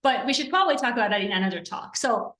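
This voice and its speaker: A woman's voice: on the high side, expressive, fast talker, fairly bright tone. A woman from Iran hosting an interview over a video call, effusive and enthusiastic, laughing often. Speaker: interview host. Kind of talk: conversational interview. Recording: roomy, distant from the mic.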